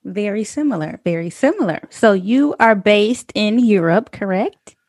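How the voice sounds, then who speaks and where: A woman hosting a casual interview over a video call, upbeat and quick to laugh. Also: mid-range voice, lively intonation, darkish tone, no echo, studio quality. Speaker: host.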